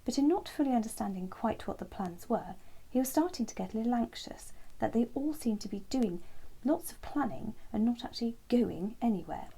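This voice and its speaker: A woman storyteller narrating a scripted children's bedtime story in a gentle style. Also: on the high side, animated, fairly quick, gravelly, breathy edge, rough recording.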